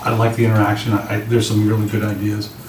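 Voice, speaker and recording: Low, slightly raspy man in a roomy meeting. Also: completely flat delivery, quick pace. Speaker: public works director. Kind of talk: informal briefing to a committee. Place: indoor meeting room. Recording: roomy, distant mics with clear background noise.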